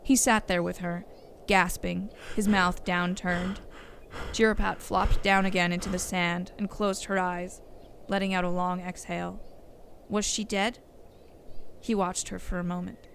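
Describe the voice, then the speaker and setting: Low-pitched woman narrator, noisy background. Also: flat delivery, measured pace, fairly bright tone. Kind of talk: scripted story narration. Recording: clear background noise and ambience.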